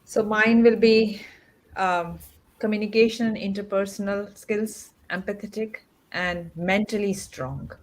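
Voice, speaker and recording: A woman's voice: medium pitch, steady tone, unhurried, low-quality recording. A woman speaking conversationally as a panelist, giving her personal views. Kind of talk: conversational discussion. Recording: faint background noise.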